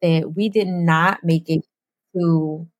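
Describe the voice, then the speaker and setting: A woman's voice: on the low side, steady tone, slow pace, dry recording, studio quality. A young adult woman co-hosting a podcast, chatting casually in slang and laughing often. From New Jersey. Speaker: podcast co-host.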